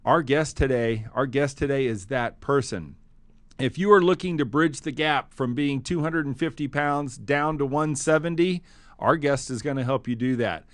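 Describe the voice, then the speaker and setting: A man's voice: medium pitch, moderate pace, no room sound. A man hosting a motivational podcast, with an enthusiastic, punchy delivery. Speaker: podcast host.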